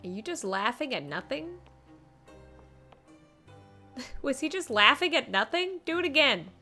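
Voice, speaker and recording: A woman's voice: high-pitched, unhurried. A woman giving casual, playful live commentary as she streams a game. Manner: playful, animated. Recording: faint game music behind.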